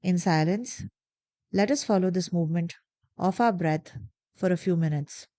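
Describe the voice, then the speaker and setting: Slow, soft-spoken woman guiding meditation. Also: on the low side, dry recording. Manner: slow, soft, calm instructions with long pauses. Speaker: meditation guide. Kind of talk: guided meditation.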